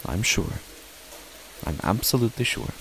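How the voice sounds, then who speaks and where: A man playing a father who narrates an uneasy horror story in measured, deliberate short phrases. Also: on the low side, animated, gravelly, fairly bright tone. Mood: reassuring. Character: father narrating his family's uneasy stormy night. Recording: faint hiss behind the voice.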